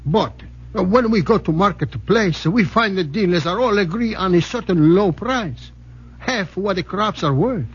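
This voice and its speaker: An actor playing a respectful old Italian farmer with an Italian accent and halting, broken English in a 1940s radio drama. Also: male voice, high-pitched, expressive, slightly rough. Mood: pleading, puzzled. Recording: dull vintage radio recording.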